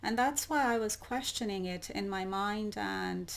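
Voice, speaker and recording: A woman hosting her own channel, speaking in a slow, deliberate solo monologue full of repeated questions. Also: medium pitch, creaky. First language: not Arabic. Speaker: YouTube channel host. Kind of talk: solo spiritual monologue to camera.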